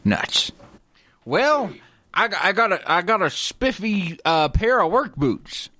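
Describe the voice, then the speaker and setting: A man puts on a folksy old-west cowboy with a fairly high voice that swings up and down in pitch. He drawls and blusters his boasts as a proud tool owner in a comedy radio skit. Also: sing-song, slow, bright, forceful tone, dry recording, clean studio sound, exaggerated voice. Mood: defiant boasting.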